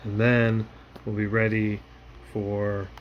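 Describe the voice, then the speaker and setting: A man's voice: fairly low pitch, completely flat delivery, slow, lo-fi sound, a little hiss or hum. A man hosting a hands-on tech tutorial, explaining each step informally in casual language. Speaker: tutorial host.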